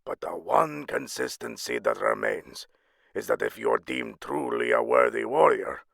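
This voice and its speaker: A man's voice: fairly low pitch, huge pitch swings, a little slow, gravelly, a bit bright, no room sound, studio quality, heavily acted. A man playing a solemn storyteller, giving a grave, dramatic scripted narration of myths.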